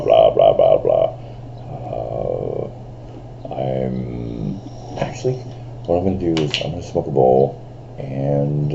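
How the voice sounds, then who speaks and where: A man in his fifties rambling through a casual vlog, full of fillers and restarts, with a voice he calls big and loud. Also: rumbling low, creaky, highs cut off, a little hiss or hum. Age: 50s. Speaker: vlogger. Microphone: camera on a screen in front of him.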